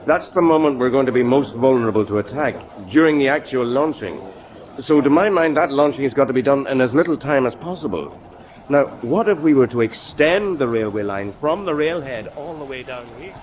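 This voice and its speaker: An actor playing an earnest, practical naval engineer who speaks deferentially and methodically. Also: male voice, mid-range voice, lively intonation, a little fast, darkish tone, close to an everyday voice. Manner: deferential, methodical, matter-of-fact. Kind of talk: radio drama acting. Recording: crowd murmur behind the voice.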